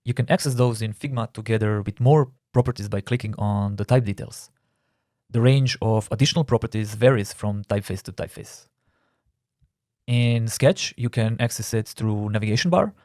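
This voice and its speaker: A man's voice: fairly low pitch, moderate pace, a little mellow, dry recording, studio quality. A man with a Croatian accent, a non-native English speaker, explaining typography terms in a careful recorded course narration. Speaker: typography instructor.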